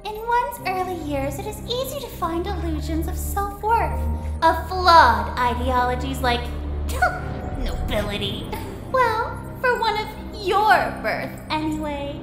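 A very high-voiced female villain from animation, the embodiment of evil, savoring each slow, taunting word with theatrical relish. Her sadistic delivery echoes beneath very loud dramatic music. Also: sing-song, heavily acted. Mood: cold contempt. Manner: theatrical, taunting, drawn-out menacing delivery with cackles. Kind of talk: animated villain voice acting reel.

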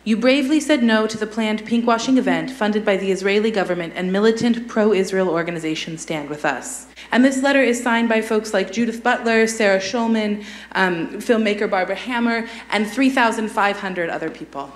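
A young woman with a medium-pitched voice reads a formal letter aloud. The meeting room around her is clearly echoey. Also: brisk. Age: young adult. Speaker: public commenter at council meeting. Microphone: podium microphone.

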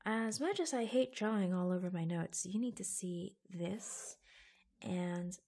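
A woman playing an attentive, affectionate office assistant in a soft-spoken ASMR roleplay, breathy and hushed, with gentle hesitant pauses, close to the microphone. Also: mid-range voice. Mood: reassuring.

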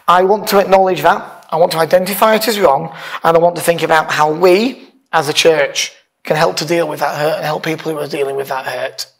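A man's voice: fairly high pitch, fairly even intonation, fairly quick, creaky, a bit bright. A man, a pastor giving an informal, conversational sermon from a church stage with emphatic stresses. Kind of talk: informal sermon, partly reading aloud. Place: church hall, speaking from a lectern on stage.

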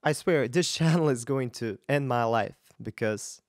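A man in his thirties talks casually as a vocal coach reacting to a performance. His medium-pitched voice is expressive and emphatic, with effusive phrasing. It is recorded close on a studio microphone in a small, dry-sounding room. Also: a little slow, no echo. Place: small indoor home studio. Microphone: large studio mic up close, headphones on.

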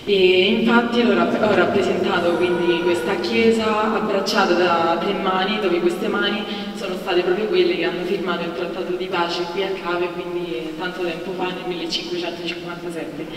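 A young woman artist explaining her design, hesitant, pausing often to search for words. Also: fairly low pitch, steady tone, slight background noise. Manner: hesitant, many pauses and fillers. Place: large church interior. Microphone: handheld mic, far camera. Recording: echoey church from far off, crowd behind.

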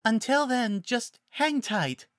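A narrator voicing a timid, gentle, apologetic young female druid in a fantasy story, soft-spoken, nervous and hesitant. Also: mid-range voice, lively intonation, slow pace, no room sound, clean studio sound, voice pushed up. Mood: meekly polite. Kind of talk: audiobook-style fantasy story character.

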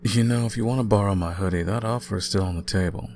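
A young man playing an easygoing, caring student roommate in an intimate ASMR audio roleplay, talking casually with gentle teasing. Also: on the low side, fast, slight background noise, close to an everyday voice. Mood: gentle, reassuring. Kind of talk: intimate ASMR boyfriend-style roleplay, conversational acting.

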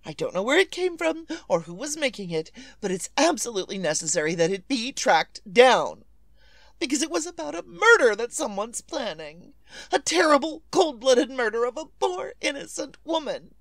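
A woman's voice: high-pitched, very animated, normal speed, no room sound. An actress playing an imperious, anxious married woman in a 1940s-style radio thriller, dramatic, demanding and flustered. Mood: urgent. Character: imperious, anxious, fretful married woman making frantic phone calls. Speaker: lead actress.

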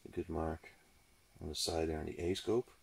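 Husky, gravelly low-voiced man. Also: fairly even intonation, normal speed, a little mellow. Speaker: ice angler demonstrating a fish finder. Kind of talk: excited casual running commentary while fishing.

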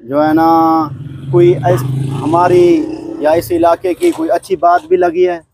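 A man's voice: high-pitched, little pitch movement, a little slow, background noise. A man interviewing a customer on his goat farm, friendly and polite. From Pakistan. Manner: friendly, polite, repeats "my brother". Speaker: goat farm owner and host. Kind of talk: casual interview.

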